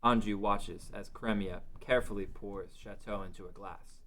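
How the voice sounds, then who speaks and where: A man's voice: fairly low pitch, fairly even intonation, normal speed, a bit bright. A man reading scripted dramatic narration for a fantasy story table read.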